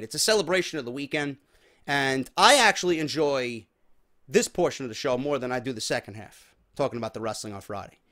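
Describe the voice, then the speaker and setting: A man's voice: medium pitch, bright, forceful tone. A man with a New York accent hosting a podcast, chatting casually to viewers in an informal, emphatic, sweary way. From New York, USA. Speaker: podcast host.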